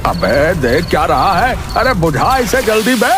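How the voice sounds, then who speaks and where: A bitter, self-important middle-aged headman from a cartoon village tale, acted out by a man. He blusters and curses in a rustic way, with very animated swoops of pitch. Music plays clearly behind him. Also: measured pace. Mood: angry scolding. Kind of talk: cartoon moral-story acting.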